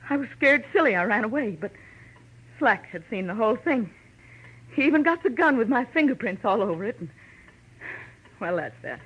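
An actress playing an earnest, troubled woman in a 1940s radio crime drama, with a husky voice. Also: fairly high pitch, big pitch swings, normal speed, pauses often. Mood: shaken, confessing. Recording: muffled vintage radio recording.

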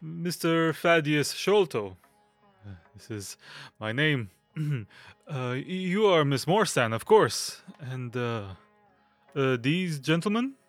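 A man playing a fussy, nervous, hypochondriac young gentleman in an audio drama, stammering and obsequious. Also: on the high side, very animated, takes it slowly, no echo, soft background music, exaggerated voice. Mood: fawning, nervous. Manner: stammering, fidgety, obsequious, nervous little laughs.

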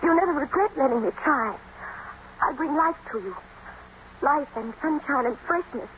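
An actress playing a lovelorn, unhappy young wife, earnest and melodramatic, in 1950s radio drama style. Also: female voice, high voice, animated, normal speed, a bit bright. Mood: pleading. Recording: dull old radio broadcast, faint noise.

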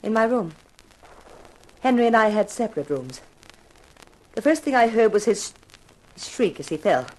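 A self-possessed young widow in a fifties radio mystery. She confides with cool frankness and an intimate manner, at a middling pitch. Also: very animated, normal speed, long pauses, low-quality recording. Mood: candid. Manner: candid, intimate. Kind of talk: 1950s radio mystery acting.

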